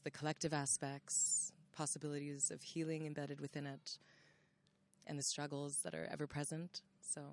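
Low-voiced woman from the United States. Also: vocal fry, a little mellow, a little hiss or hum. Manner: fluent and articulate. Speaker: panel speaker. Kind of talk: casual self-introduction.

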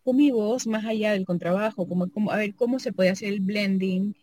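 A woman's voice: mid-range voice, fairly quick, darkish tone, dry recording. Reflective woman thinking aloud, dry sound. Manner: reflective, thinks aloud, frequent tag questions. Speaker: sound artist and double bass player. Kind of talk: casual conversation about her artistic work.

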